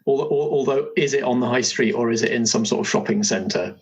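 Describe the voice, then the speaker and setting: A man's voice: mid-range voice, brisk, slightly rough. A man hosting as game master, casually asking questions and guiding a player through a character. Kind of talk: casual guided interview about a game character.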